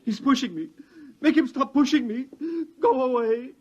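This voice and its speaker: An actor playing a terrified, broken madman who cowers from everything, with a strained high husky voice, in an old radio drama. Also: normal speed. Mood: terrified, pleading. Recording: dull lo-fi old radio recording.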